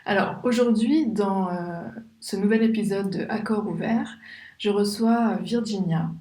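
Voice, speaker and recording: A woman's voice: medium pitch, unhurried, dark tone, reverberant, soft background music. A woman hosting a podcast who introduces the show and her guest, then asks questions conversationally, with frequent fillers. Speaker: podcast host.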